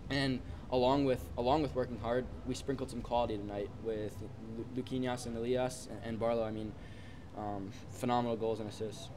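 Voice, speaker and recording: A man in his twenties, a pro soccer player, answering reporters quickly after a match, often repeating words as he goes. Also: medium pitch, steady tone. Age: 20s. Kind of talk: post-game media scrum. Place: busy stadium mixed zone, indoors. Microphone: reporters' phones and handheld mics held close. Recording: loud background chatter noise.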